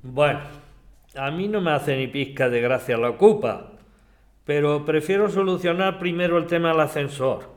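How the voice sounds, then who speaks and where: Man acting a high-pitched grumpy older neighbour. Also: normal speed, natural voice. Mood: grudging. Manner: grumbling, colloquial, exasperated. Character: grumpy, prejudiced older male neighbour, forever complaining. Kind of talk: acted community radio drama.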